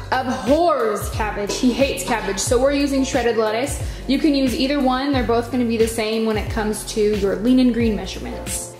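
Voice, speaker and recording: An American woman chattily walking through recipes step by step in a casual home cooking demonstration. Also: high-pitched. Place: home kitchen, indoors. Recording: echoey kitchen with music behind. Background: music.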